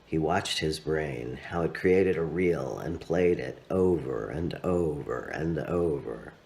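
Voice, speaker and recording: A man reading his own literary story aloud as narrator, with expressive, dramatic phrasing and drawn-out words. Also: a bass voice, vocal fry.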